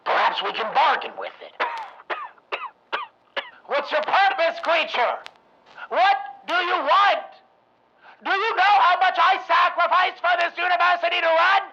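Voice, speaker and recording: A greedy, blustering college dean shouts and demands, his voice high and swinging wildly. There is a bright, gravelly bite to his tone, with faint hiss in the background. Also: big pitch swings, slow pace, pauses often, lo-fi sound, full character voice. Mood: defiant. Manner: loud, bossy, shouting.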